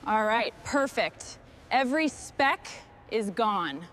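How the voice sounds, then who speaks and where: A woman's voice: on the high side, lively intonation, slow pace, fairly bright tone, slight background noise. A woman restoring machinery, giving short, clipped remarks and instructions as she works. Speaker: machine restorer presenting the work. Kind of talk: short work narration and crew instructions.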